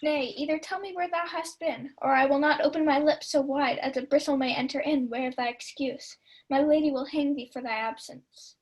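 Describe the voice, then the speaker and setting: Young woman playing high-voiced scolding lady's maid. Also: fairly even intonation, moderate pace, lightly acted, rough recording. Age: young adult. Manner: theatrical, chiding. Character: Maria, scolding sharp-tongued lady's maid. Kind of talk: 1940s radio Shakespeare acting.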